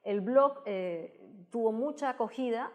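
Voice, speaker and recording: A woman's voice: fairly high pitch, measured pace. A woman with a Peruvian accent answering interview questions at length, thoughtful, often restarting and adding tag questions. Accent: Peruvian Spanish. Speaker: guest, tech communicator.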